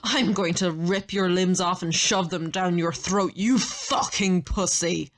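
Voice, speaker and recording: A woman's voice: fairly low pitch, steady tone, measured pace. A woman playing a fierce, vengeful young fighter in an audio drama, speaking in a hostile, cutting, threatening way. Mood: seething. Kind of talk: audio roleplay drama acting.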